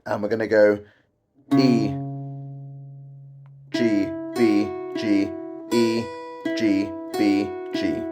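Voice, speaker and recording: A man talks through a guitar lesson, his voice croaky from a cold coming on. He keeps it chatty and wry, with dry self-mocking asides between the chord shapes, while clear guitar plays behind him. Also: lowish voice, level tone, drawn-out words, pauses often. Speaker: guitar teacher. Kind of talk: casual guitar tutorial.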